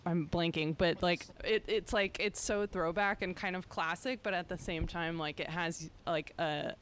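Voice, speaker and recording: A woman hosting a podcast, asking questions in a casual, filler-heavy way with quick giggles. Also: on the low side, steady tone, fairly bright tone, a little hiss or hum. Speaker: podcast host.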